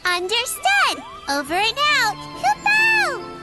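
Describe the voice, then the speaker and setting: A cute, eager little flying creature from a fantasy game. The cartoonish voice is extremely high and bright, swooping wildly over music. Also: female voice, wildly swooping pitch, takes it slowly, exaggerated voice.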